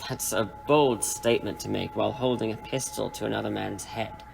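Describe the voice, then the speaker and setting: A man playing a menacing crime boss in an audio drama, with a mockingly polite, formal, unhurried manner. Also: fairly low pitch, steady tone, gravelly, music in the background, rough recording. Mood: coolly bargaining. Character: suave, menacing male crime boss interrogating captives.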